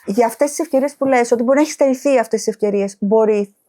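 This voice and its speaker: A woman's voice: on the high side, dark tone. A woman hosting a casual interview, phrasing her questions in an informal, tentative way. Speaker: podcast host, interviewer.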